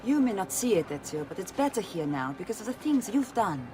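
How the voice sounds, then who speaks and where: An actress playing a sly, teasing Italian confidante in a video game, playful and coaxing with an Italian accent. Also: female voice, mid-range voice, big pitch swings, fairly quick, background noise, natural voice. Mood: reassuring, coaxing. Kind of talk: video game cutscene acting.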